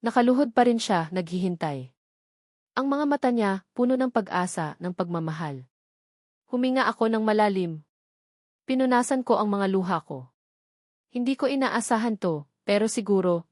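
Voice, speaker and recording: A woman reading a scripted first-person love story as narrator, with sentimental, measured phrasing. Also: medium pitch, animated, a little mellow, no echo, clean studio sound.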